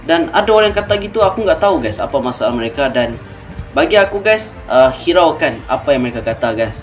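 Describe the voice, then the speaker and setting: Brief: Malaysian man, fairly high voice, emphatic chat to viewers, faint music underneath. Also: big pitch swings, fairly quick. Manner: emphatic, switches between loud bursts and low trailing asides. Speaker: football reaction YouTuber.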